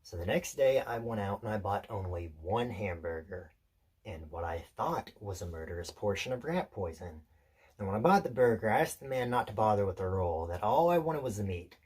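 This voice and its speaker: A male actor performs an intense, solitary drifter telling a rambling story in a theatrical monologue. The voice sits low and has a husky grain. He confides in his listener with deliberate pauses and small self-corrections, always somewhat unsettled. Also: expressive, darkish tone. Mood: wry, wounded.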